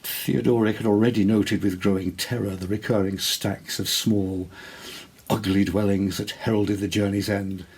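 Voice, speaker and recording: A man reading a literary short story as an actor would, measured, expressive and deliberate in his dramatic narration. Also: on the low side, husky.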